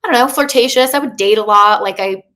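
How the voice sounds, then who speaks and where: A woman's voice: a little high, fast talker, a bit bright. A woman in her thirties, a coach talking as a podcast guest, speaking openly in a chatty way with many fillers and restarts. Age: 30s. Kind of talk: candid casual interview.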